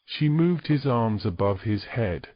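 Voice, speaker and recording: Male narrator, fairly deep, reading story prose in a dry, slightly dull recording. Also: animated, measured pace, no echo.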